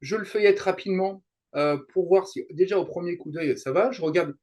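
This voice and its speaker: Middle aged french guy guesting on a show, slightly high voice, explains stuff casually, stops n starts, wanders off. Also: brisk, a little mellow. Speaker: guest, YouTuber and translator. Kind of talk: casual interview chat, explaining.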